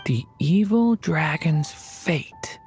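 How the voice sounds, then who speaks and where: A gentle young dragon boy from a video game. The voice sits low for a female, with an earnest, unsure and thoughtful delivery. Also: animated, very slow, faint music behind, exaggerated voice. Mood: earnest, hesitant. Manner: hesitant, thoughtful.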